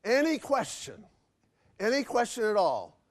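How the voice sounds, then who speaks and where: A man fields audience questions after a lecture in a hotel convention hall. His voice sits high for a man, and he wanders through his answers with frequent fillers and restarts. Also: wildly swooping pitch, a little slow. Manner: rambling, many fillers and restarts.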